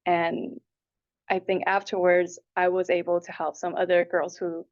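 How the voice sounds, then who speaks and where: Female interviewee, twenties, from Taipei and Hong Kong, slightly low voice, earnest, lo-fi sound. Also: completely flat delivery, measured pace. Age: 20s. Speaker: interviewee, applicant. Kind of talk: answering interview questions. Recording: dull, lo-fi audio.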